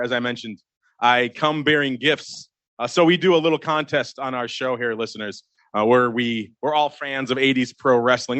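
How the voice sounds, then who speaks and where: Bright, teasing podcast host man. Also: medium pitch, dry recording. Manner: jokey, teasing, gives guests nicknames. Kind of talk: live options podcast banter. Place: crowded live event room.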